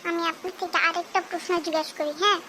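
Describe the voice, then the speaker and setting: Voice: very high voice, fairly even intonation, rapid-fire, background noise. A performer voicing a cheeky, clever young boy in a cartoon, speaking in a teasing, playful way. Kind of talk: cartoon dubbing.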